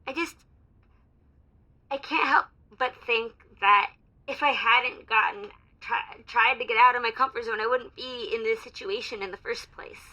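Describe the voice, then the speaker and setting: Audio drama caller: an actress as a timid woman, high and very sharp-toned, earnest, faltering, unsure of herself. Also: normal speed, muffled recording. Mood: anxious, regretful. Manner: hesitant, earnest. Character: Haley, timid, self-doubting young female radio caller. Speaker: caller to an advice show. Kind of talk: semi-improvised audio drama.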